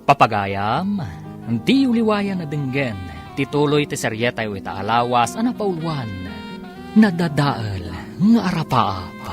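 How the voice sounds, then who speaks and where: A Filipino man narrates as a radio drama announcer. He speaks slowly and dramatically with sweeping intonation, over prominent guitar music. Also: medium pitch, sing-song.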